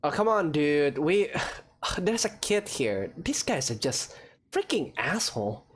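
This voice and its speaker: A woman giving casual, halting commentary as she plays and reacts to a video game. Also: low voice, sing-song, slightly rough. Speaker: let's-play host.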